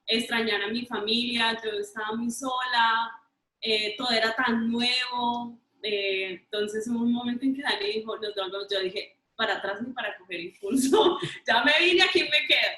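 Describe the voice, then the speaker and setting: A woman's voice: on the high side, moderate pace, sharp tone. A young woman with a Colombian accent, telling personal stories casually and repeating words for emphasis. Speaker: interview guest. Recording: roomy, echoing sound.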